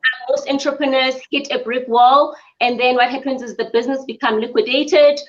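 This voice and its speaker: A woman from South Africa, a spa business owner, answering interview questions in an earnest, measured way with frequent ums. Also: high voice, fairly even intonation. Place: her mother's home. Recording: dull lo-fi audio.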